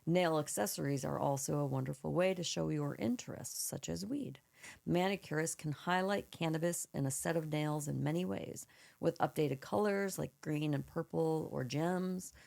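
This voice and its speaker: A woman co-hosting a casual podcast with a froggy, slightly hoarse voice, reading a prepared segment and chatting. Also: low-pitched, dark tone. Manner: reads prepared segment aloud, then chats casually. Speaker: podcast co-host.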